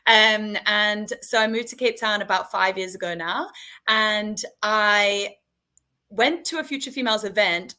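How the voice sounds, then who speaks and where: A young woman with a British accent talks in a chatty, friendly way, telling stories about her career. Her voice is bright and ringing, with pitch in the middle range. She sounds relaxed and conversational on a live stream. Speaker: head of marketing operations. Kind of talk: casual live-stream chat.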